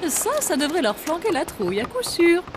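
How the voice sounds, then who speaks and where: Excitable, very high cartoon character. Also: female voice, normal speed, noisy background. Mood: delighted. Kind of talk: French animated cartoon dub.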